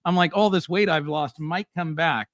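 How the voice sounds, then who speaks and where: A middle-aged man hosts a casual live stream, talking bluntly and with plenty of animation, throwing in fillers as he goes. His voice sits a little high for a man. The sound is dry and close. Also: normal speed, fairly bright tone, no echo. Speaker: host.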